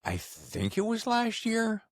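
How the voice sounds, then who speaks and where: A man's voice: high-pitched, huge pitch swings, slow pace, no room sound, clean studio sound. A man hosting a podcast interview in a casual, informal and enthusiastic way. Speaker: podcast host.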